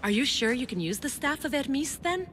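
An actress playing a sceptical, exacting middle-aged doctor in a video game, dry and precise. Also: female voice, high voice, sing-song, normal speed, fairly bright tone, a little hiss or hum. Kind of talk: video game cutscene acting.

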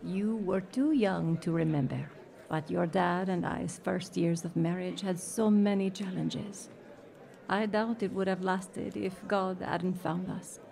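A gentle older mother in a radio drama. Her voice is soft-edged and mellow with a gravelly creak, and she speaks with tender, reflective faith. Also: on the low side, a little slow. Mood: fond, reflective.

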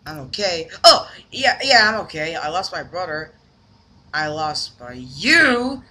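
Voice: mid-range voice, big pitch swings, measured pace, bright, forceful tone. An amateur voice actor playing an irritable, whiny talking cup hero in a homemade cartoon. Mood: glum. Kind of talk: amateur animation voice acting.

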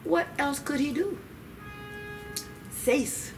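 Slow, animated woman storyteller. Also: mid-range voice, over music. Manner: expressive, dramatic, with asides to listeners. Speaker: library storytime reader. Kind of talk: animated read-aloud for young children. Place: small children's room indoors. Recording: distant mic, noisy room ambience.

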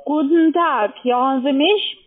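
Female call centre adviser, non-native speaker, fairly high voice, scripted pitch down a narrow phone line. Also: measured pace. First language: not German.

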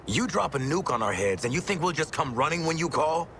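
An actor playing a tough, impatient male mercenary in a video game cutscene, gruff and exasperated in manner. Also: fairly high pitch, very animated, fairly quick, a bit bright, a little hiss or hum.

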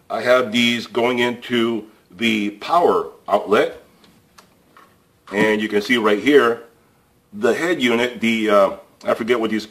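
Relaxed man talking through a repair. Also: lowish voice. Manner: relaxed, thinking aloud with fillers. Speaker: DIY repair channel host. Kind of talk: casual hands-on repair tutorial. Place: indoor workshop. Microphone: camera overhead of workbench, voice off-screen.

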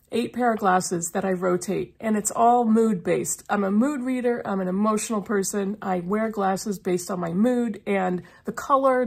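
A woman's voice: medium pitch, gravelly, breathy edge. A middle-aged woman chatting casually to camera as a book vlogger, her voice slightly froggy from a lingering cough. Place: small indoor room lined with bookshelves.

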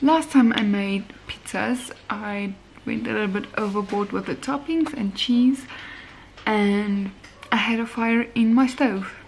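A woman's voice: mid-range voice, measured pace, gravelly, a little mellow, a little hiss or hum. A young woman vlogging at home, chatting casually to the camera while filming on a handheld phone in her kitchen and bathroom. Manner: rambling, talks straight to the camera while cooking. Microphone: handheld phone camera at arm's length.

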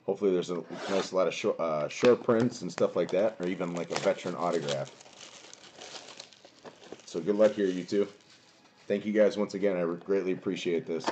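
A young man with a booming voice streaming a card box break from a small home room, chatting casually and greeting viewers by name. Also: brisk, a little mellow, slight background noise. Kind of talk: casual live-stream chat.

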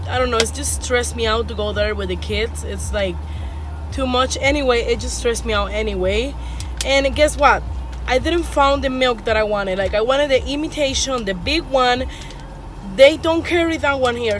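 A woman's voice: fairly high pitch, a little hiss or hum. A woman in her thirties chatting to her phone camera in a casual family vlog, rambling with lots of fillers, first in a kitchen and then in a car. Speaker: family vlogger, mother of three. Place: home kitchen, then inside a parked car. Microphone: phone camera held at arm's length.

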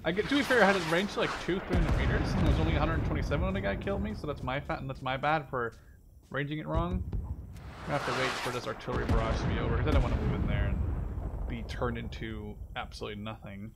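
A man's voice: medium pitch, quick pace, creaky, heavy background noise. A man giving casual, rambling, self-critical commentary while playing a game, thinking aloud. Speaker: gaming video host. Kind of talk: casual first-person gameplay commentary. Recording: voice over loud game gunfire, artillery. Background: video game gunfire and artillery.

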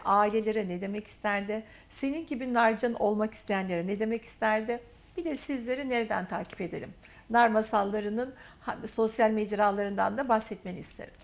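A woman hosting a university TV interview, praising her guest in repeated emphatic phrases. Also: fairly high pitch. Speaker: interview host. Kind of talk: warm, effusive interview talk.

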